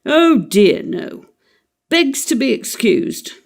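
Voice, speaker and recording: A woman voicing a blustering, pompous middle-aged father who is loud and sarcastic. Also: on the high side, sing-song, slow, gravelly, pitched above the performer's own voice, exaggerated voice. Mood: sarcastic, stern. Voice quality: loud, exclaiming.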